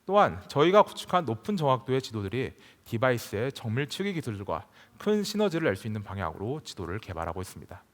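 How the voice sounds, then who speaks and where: Male conference speaker, mid-pitched with lively intonation, formal scripted tech presentation, big hall reverb. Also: quick pace, few pauses. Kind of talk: prepared technical presentation.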